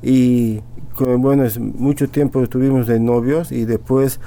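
A middle-aged man with a Bolivian accent giving personal testimony as a guest, haltingly, often adding little tag questions. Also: medium pitch, fairly even intonation, mellow. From Sucre, Bolivia. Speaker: interview guest.

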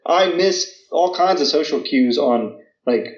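A man's voice: a little high, animated, a little slow. Man, American, thirties, casual chat, lots of fillers, roomy echo, muffled sound. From United States. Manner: chatty, many fillers and restarts. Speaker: guest. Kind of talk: casual conversation.

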